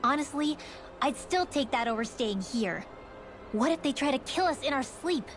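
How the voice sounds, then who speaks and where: An actress voicing a wary young heroine in an anime-style game, anxious and suspicious. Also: female voice, high-pitched, animated, normal speed, soft background music.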